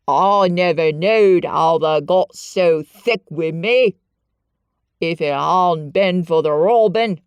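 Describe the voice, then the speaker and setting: A woman voicing a gruff, scolding old male gardener in a Yorkshire dialect, loud and slow. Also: fairly high pitch, no echo, clean studio sound, pitched above the performer's own voice.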